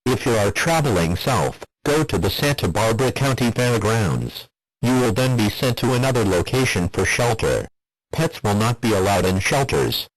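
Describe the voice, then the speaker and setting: Low-pitched synthetic male alert announcer. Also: no echo, low-quality recording. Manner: formal, flat synthetic text-to-speech delivery. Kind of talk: scripted emergency broadcast announcement.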